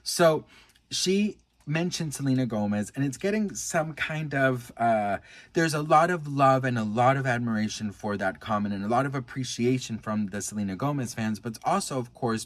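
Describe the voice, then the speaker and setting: American man, casual vlog chat, gushing and earnest, medium pitch, close dry sound. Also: no room sound. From United States. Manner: gushing, earnest, addresses viewer as best friend. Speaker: vlogger commenting on celebrity news.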